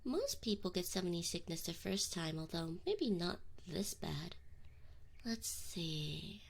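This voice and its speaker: A woman's voice: fairly low pitch, big pitch swings, takes it slowly, creaky, slightly rough, full character voice. A woman voicing a teasing, flustered but affectionate succubus in an intimate ASMR roleplay, close to the microphone with whispered asides. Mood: concerned, soft. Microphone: close binaural microphone.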